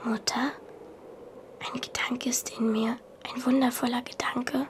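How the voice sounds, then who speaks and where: A woman playing a bright, eager, stubborn seven-year-old medieval girl in a German radio play, earnest and precise. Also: fairly high pitch, flat delivery, unhurried, slight background noise, natural voice. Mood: hushed pleading.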